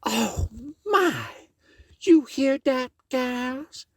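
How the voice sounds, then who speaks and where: Man doing a high shrill lady, prim and fussy, storybook voice. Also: expressive, drawn-out words, no room sound, clean studio sound, heavily acted. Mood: scandalized.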